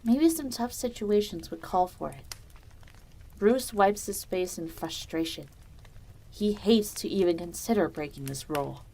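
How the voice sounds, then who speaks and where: A woman's voice: mid-range voice, lively intonation, measured pace, vocal fry, mellow, slight background noise, low-quality recording. A woman reading scripted narration for a dramatic audio story.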